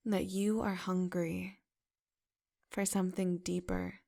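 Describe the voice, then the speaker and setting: Brief: woman, meditation guide, very slow delivery, gravelly fry, soft affirming tone. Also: on the low side, flat delivery.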